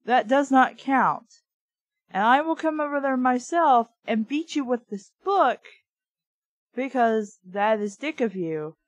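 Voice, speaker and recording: A woman's voice: fairly high pitch, lively intonation, slow pace, dry recording, muffled recording. A woman reading a book aloud casually, with blunt, sweary, opinionated off-the-cuff commentary. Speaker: reader and commentator of a children's book.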